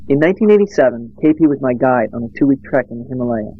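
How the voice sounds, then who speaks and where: A man narrating a documentary in measured, plain storytelling. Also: mid-range voice, animated, soft background music. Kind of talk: scripted narration. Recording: dull lo-fi recording.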